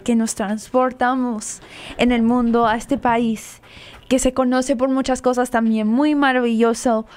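A woman's voice: fairly high pitch, a little mellow. A woman co-hosting a cultural program, presenting facts in Spanish and English in an upbeat manner. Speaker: co-host. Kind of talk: bilingual presenting.

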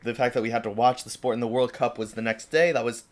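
A young man from the United States co-hosting a podcast in casual chat, hoarse from a cold, chatty and often restarting his sentences. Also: mid-range voice, steady tone, fast talker. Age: young adult. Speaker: podcast co-host.

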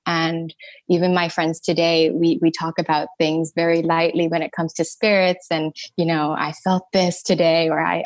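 A woman's voice: lowish voice, dry recording. Thai-raised woman sharing her story. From Thailand. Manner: animated, laughs between phrases. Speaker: podcast guest, energy healer. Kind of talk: conversational storytelling.

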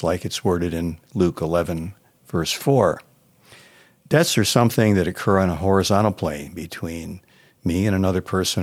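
A man with a low-pitched voice reads a short devotional in the role of pastor. His delivery is measured and instructive, following a prepared script.

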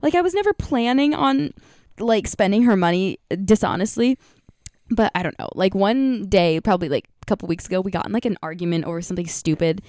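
A woman's voice: medium pitch, expressive, brisk, darkish tone, no room sound, studio quality. A young woman vlogging in casual chat, her voice scratchy and tired from singing, rambling with fillers and giggles.

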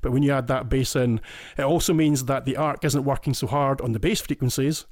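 A man from Scotland with a Scottish accent, chatting as a podcast guest. He goes into eager detail and keeps restarting his phrases. His voice sits in a middle pitch and sounds dry and close, with no room around it. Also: steady tone, fairly quick, darkish tone, no room sound. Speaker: listener guest. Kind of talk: casual podcast chat.

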